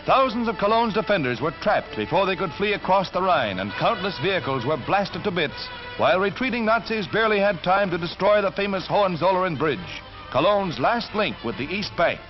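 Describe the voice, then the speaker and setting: A 1940s newsreel soundtrack, muffled and backed by prominent music, carries an American man's narration. His voice sits high and swings widely in pitch. He delivers the script with emphatic, dramatic announcer punch. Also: lively intonation, a little fast, few pauses. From United States. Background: orchestral music.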